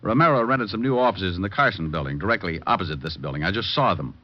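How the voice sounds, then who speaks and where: Low-voiced wry private eye. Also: male voice, expressive, quick pace. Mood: reasoning, brisk. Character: cool wisecracking male private detective. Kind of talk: 1940s-50s radio acting. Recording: dull vintage radio recording.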